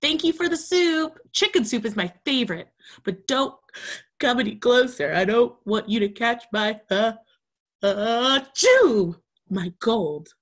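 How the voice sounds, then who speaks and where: A woman voicing a friendly little boy with a cold, halting and sneezy, in a children's storybook. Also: a little high, animated, voice pushed up. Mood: grateful, sneezy. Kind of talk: children's storybook read-aloud.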